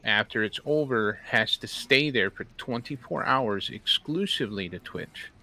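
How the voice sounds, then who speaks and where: A man's voice: medium pitch, bright, forceful tone. A man chatting casually while gaming, explaining things plainly to his co-player.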